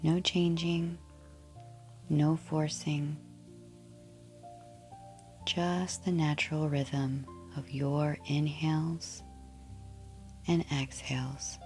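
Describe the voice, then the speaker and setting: Female meditation guide, low and very even, soft breathy endings, soothing delivery over music. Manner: soothing, gentle, unhurried. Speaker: yoga nidra guide.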